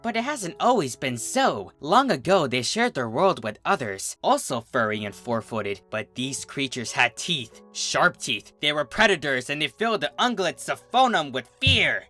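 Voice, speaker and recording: A man reading a scripted fantasy story aloud in a theatrical, deliberate storytelling manner. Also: on the high side, sing-song, pushed and bright, soft background music. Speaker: fan fiction reader, narrator. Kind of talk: dramatic scripted story narration.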